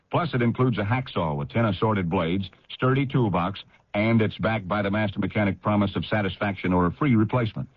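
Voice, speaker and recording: A man with a husky voice reading a scripted radio commercial as spokesman. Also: on the low side, no room sound. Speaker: advertising spokesman. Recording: dull old radio recording.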